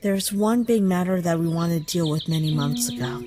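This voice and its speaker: A woman voicing a kind, concerned human child in a comic dub, gentle, earnest and thoughtful. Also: on the low side, normal speed, music in the background, lightly acted. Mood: reassuring. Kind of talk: scripted comic dub acting.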